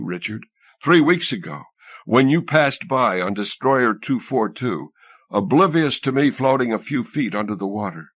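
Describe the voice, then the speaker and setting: A man's voice: medium pitch, fairly bright tone, slightly rough, dry recording, exaggerated voice. A man voicing a young drowned nurse, tender, weary and wistful, in an audiobook story.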